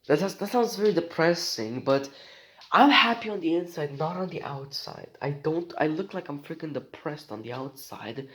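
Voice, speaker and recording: A man's voice: on the high side, animated, moderate pace, fairly bright tone, poor audio. Rambling teenage boy. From Romania. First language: Romanian. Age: teens. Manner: rambling, joking, many restarts and slang. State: sick. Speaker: vlogger. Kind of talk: casual rambling monologue.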